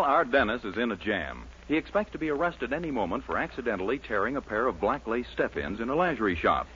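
A man's voice: mid-range voice, a little hiss or hum. A man narrating as the announcer of a 1940s radio comedy, in a wry tone, on a dull old recording.

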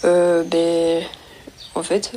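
A tipsy woman stumbles through a voice memo, halting and hesitant, in a low, flat, slow drawl. A noisy phone recording hisses behind her, with little clicks. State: tipsy after a night out. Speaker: listener leaving a voice message. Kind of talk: recorded voice message.

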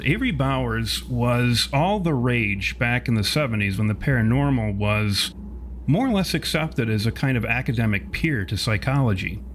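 Wordy male game master, faint noise. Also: lowish voice. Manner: wordy, measured, formal phrasing. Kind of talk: improvised narration of a tabletop game.

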